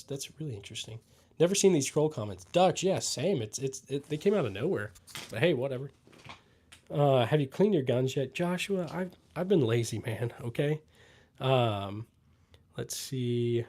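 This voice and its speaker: A young man from the American Midwest hosts a laid-back livestream, answering viewers' questions as he reads through the chat. His voice is dark and mellow, and he talks in an unhurried way, trailing off with "uh" and "let's see" as he scrolls. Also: medium pitch. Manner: relaxed, trailing fillers, pauses to read comments. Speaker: livestream host reading chat.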